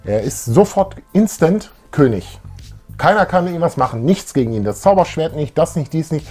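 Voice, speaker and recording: A man's voice: on the high side, big pitch swings, moderate pace, a little mellow, soft background music. Casually chatting middle-aged man. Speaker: co-host of a retro fan show. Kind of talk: casual chat, recounting favourite audio dramas. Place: small room full of posters and collectibles.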